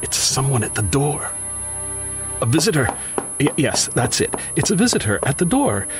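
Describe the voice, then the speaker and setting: An actor playing a grief-stricken, bookish widower who mutters anxiously to himself in a theatrical old-time radio horror monologue. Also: male voice, mid-range voice, very animated, moderate pace, natural voice. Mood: nervous. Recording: music under the voice. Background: prominent music.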